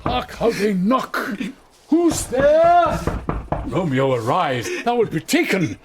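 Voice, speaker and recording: A man's voice: high voice, big pitch swings, slow pace, exaggerated voice. An older man playing a stern, fatherly holy friar in classical Shakespearean radio drama, declaiming and scolding. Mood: urgent, alarmed. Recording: faint hiss, 1990s radio drama CD.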